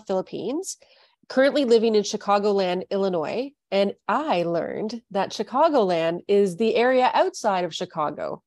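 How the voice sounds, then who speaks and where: A cheerful woman from Canada hosts a relaxed author interview, laughing between her words and tripping into restarts. Her voice sits in a middle pitch and is recorded close and dry, with no room sound around it. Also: no echo. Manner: bubbly, laughs often, restarts sentences. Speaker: podcast host. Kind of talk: casual interview chat.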